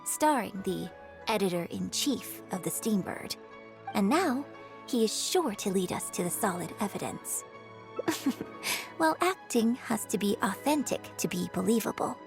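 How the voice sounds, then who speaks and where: A woman playing a poised, polite and quietly cunning young opera performer in a video game. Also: medium pitch. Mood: calm, sly. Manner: composed, courteous, feigned innocence. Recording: background music under dialogue.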